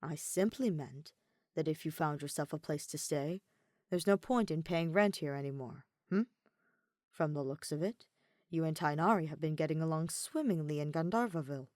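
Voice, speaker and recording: A woman's voice: low voice, moderate pace, a little mellow, dry recording, clean studio sound, close to an everyday voice. A woman voicing a cool, pedantic young male scholar in a story, speaking in a clipped, composed, petty way. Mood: cool, needling.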